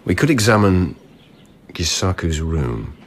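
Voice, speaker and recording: Very deep-voiced, blunt investigating lieutenant. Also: male voice, very animated, full character voice. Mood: probing, thoughtful. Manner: brisk, insistent questioning. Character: blunt, probing male lieutenant investigating a palace murder. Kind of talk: radio drama acting.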